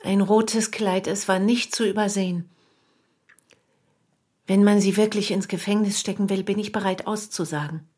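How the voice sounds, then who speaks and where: A woman's voice: medium pitch, fairly even intonation, moderate pace, darkish tone, natural voice. A woman playing a gossiping but dutiful hotel maid in a radio drama, confiding and matter-of-fact. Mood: confiding, earnest.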